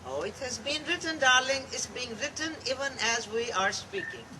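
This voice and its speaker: A woman performing a comedic reading, playful and laughing, measured in delivery. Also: sits high, expressive. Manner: playful, laughing, slow and deliberate. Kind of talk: live comedic dramatic reading. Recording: distant mic in a noisy live room.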